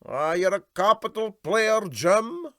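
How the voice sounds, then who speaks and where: Storybook skipper played by a man: Scottish Highlander, pompous and sentimental, slow, swooping, raised voice. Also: expressive, sharp tone, no echo, studio quality, exaggerated voice. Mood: condescending. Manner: ponderous, self-important, hesitant.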